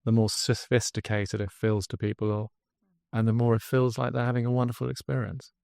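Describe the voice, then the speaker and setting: An English man, guest on a podcast interview, telling his story as a drinks brand founder in an earnest pitch. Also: monotone, fairly quick, gravelly, darkish tone, no room sound, studio quality. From England.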